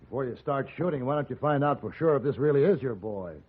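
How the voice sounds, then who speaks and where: An actor playing a composed, educated gunfighter with an American accent, measured and dry, acting and narrating in a 1950s radio western. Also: male voice, mid-range voice, lively intonation, a little hiss or hum, close to an everyday voice. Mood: cool, measured. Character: composed, educated gunfighter for hire, coolly authoritative. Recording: phone-like 1950s radio recording with music.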